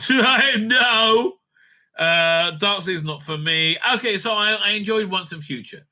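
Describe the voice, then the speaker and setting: A man's voice: high-pitched, takes it slowly, pushed and bright. A man hosting a casual, off-the-cuff review commentary, blunt, opinionated and sweary, rambling and repeating words as he goes. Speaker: host reviewing audio drama releases.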